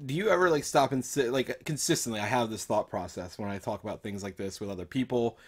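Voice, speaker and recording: A man's voice: mid-range voice, fast talker. A man co-hosting a talk show in casual, rambling, hesitant chat. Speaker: co-host of a gaming talk show.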